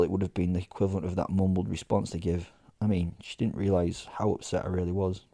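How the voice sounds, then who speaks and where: Low, mellow man telling a sardonic story. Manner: sardonic, theatrically indignant. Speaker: narrator in character as a werewolf. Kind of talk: first-person dramatic storytelling, conversational and sweary.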